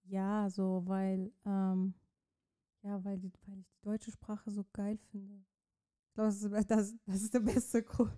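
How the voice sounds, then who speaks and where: Young woman from Iran, native Persian speaker, very dark timbre, halting interview answers. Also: mid-range voice, monotone. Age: young adult. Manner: searching for words, many restarts. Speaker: interview guest, student writer.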